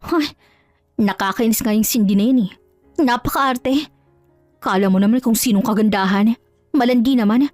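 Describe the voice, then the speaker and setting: Woman voicing a high, spiteful mistress, catty. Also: normal speed, pauses often, a little mellow, no room sound, lightly acted. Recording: dry, faint music underneath.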